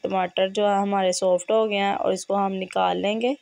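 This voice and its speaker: A woman with a hoarse, fevered voice, hosting a home cooking tutorial and giving steady step-by-step instructions in a kitchen. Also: mid-range voice, normal speed, no echo. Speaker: cooking channel host.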